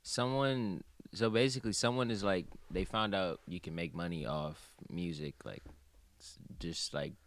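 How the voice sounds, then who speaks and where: A young man from Jamaica, a guest rapper, talking casually in an interview and mumbling off quietly at the ends of phrases. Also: sits low, normal speed, no room sound. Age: young adult. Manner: laid-back mumbling, trails off quietly.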